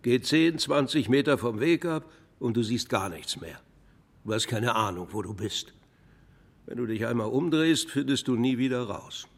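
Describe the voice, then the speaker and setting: A man's voice: medium pitch, lively intonation, moderate pace, gravelly. Audio drama role: skeptical backwoods man, matter-of-fact, middle register. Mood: explaining firmly. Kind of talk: German audio drama acting.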